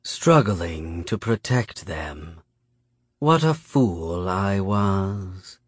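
A man voicing a menacing female predator spirit in an audiobook, cold, mocking and contemptuous, with slow, drawn-out, purring delivery. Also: sits low, animated, breathy edge, no room sound, clean studio sound, heavily acted. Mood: bitter.

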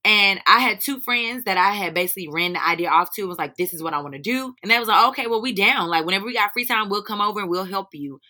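A young woman with a North Carolina accent, slightly hoarse and raspy, answering interview questions in a candid, informal way. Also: mid-range voice, expressive, fast, hardly any pauses, sharp tone. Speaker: guest, teacher and business owner.

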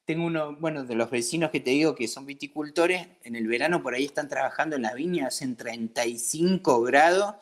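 A man's voice: a little high, a little fast, hardly any pauses. A man from Patagonia with an Argentine accent, chatting casually and colloquially as he tells stories and acts out bits of dialogue. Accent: Argentine Spanish. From Patagonia, southern Argentina. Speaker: interviewee, craft brewery owner. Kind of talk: casual conversational storytelling.

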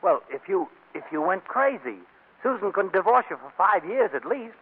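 A man's voice: sits high, big pitch swings, normal speed, husky, full character voice. An actor playing a hesitant, agreeable lawyer friend in a 1940s radio comedy, hedging his words, on a muffled old broadcast. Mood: matter-of-fact, scheming.

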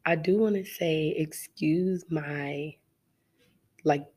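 Low-pitched woman host, nasal from a cold, talking casually and laughing to her listeners. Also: slow, a little mellow, breathy edge. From Arizona. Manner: chatty, laughs often, addresses listeners directly. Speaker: podcast host. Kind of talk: casual solo podcast monologue.

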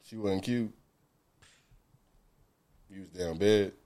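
A man's voice: lowish voice, takes it slowly, crowd noise. A man hosting a podcast, telling stories in a laid-back, slangy way. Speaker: podcast host. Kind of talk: casual chat.

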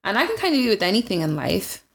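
A woman's voice: medium pitch, very animated, quick pace, gravelly, breathy edge. A woman in casual podcast chat, emphatic, often laughing and quoting people.

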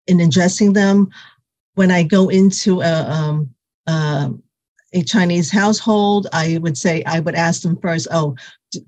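A woman physical therapist answering interview questions in a halting way, with many fillers and restarts, whose first language is Cantonese. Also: on the low side, a little mellow, dry recording.

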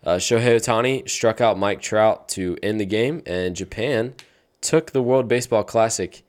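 Young guy with a really low voice, hyped up, running a baseball podcast. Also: moderate pace, a little mellow. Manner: enthusiastic, hypes up segments. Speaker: podcast co-host. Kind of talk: casual sports podcast chat.

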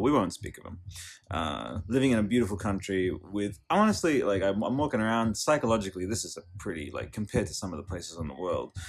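Brief: male podcast host from Australia, enthusiastic, rambling, slightly low voice. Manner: rambling, enthusiastic, many restarts and tangents. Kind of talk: casual interview chat.

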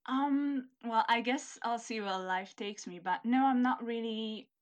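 A woman's voice: a little high, unhurried. A young woman from Hungary, a native Hungarian speaker, chatting casually in English as an interviewee, laughing often and searching for words. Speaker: interviewee, student. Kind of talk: casual conversation.

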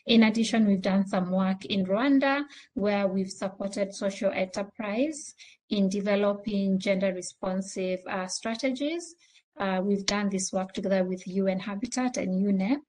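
A woman's voice: mid-range voice, normal speed, darkish tone, a little hiss or hum. East African woman explaining as a panelist. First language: an East African native language. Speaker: panelist, transport nonprofit leader. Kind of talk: panel discussion, explanatory answers.

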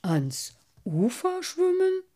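A woman voicing a thoughtful, loyal male carp in a storybook, speaking deliberately with bubbling interjections. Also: sits low, lively intonation, mellow. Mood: puzzled. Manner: deliberate, bubbling blub interjections between phrases. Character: slow, thoughtful old-fashioned male carp, loyal and easily moved. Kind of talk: storybook character voice.

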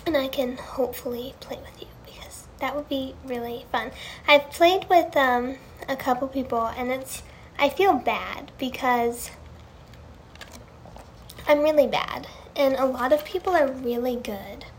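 A woman with a high, girlish voice chatting casually on a livestream, answering fan questions in a gushing, earnest way and often repeating herself. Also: unhurried, a little hiss or hum.

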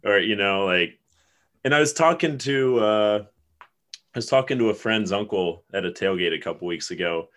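A man in his thirties from Ohio talks in a loose, rambling way and laughs easily. His voice sits a little low. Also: lively intonation, moderate pace, a bit bright. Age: 30s. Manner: laid-back, rambling, laughs often. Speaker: podcast co-host. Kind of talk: casual chat.

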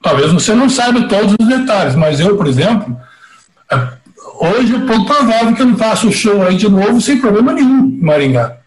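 Man, Brazilian Portuguese accent, high voice, middle-aged or older, casual storyteller, emphatic, roomy echo. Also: sing-song, a little fast. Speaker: interview guest, veteran concert producer. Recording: roomy, echoey sound.

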